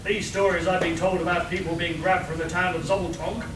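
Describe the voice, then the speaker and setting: A man playing a pompous, heroic gentleman adventurer in a live comedic radio play. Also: sits high, level tone, a little fast, natural voice. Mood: self-assured. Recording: echoey hall, far mic, noisy.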